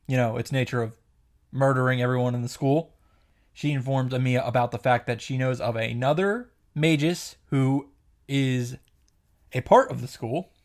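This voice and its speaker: A man's voice: mid-range voice, moderate pace. A man giving a casual, opinionated story recap and commentary, with emphatic, sarcastic asides. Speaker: video creator recapping a visual novel. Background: background music.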